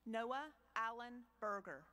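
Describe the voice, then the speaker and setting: A woman with a slightly high voice reads out graduates' names at an outdoor ceremony. She speaks slowly and formally into a podium microphone, pausing after each name. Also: sharp tone. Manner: slow, measured, pausing between names. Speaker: dean reading graduate names.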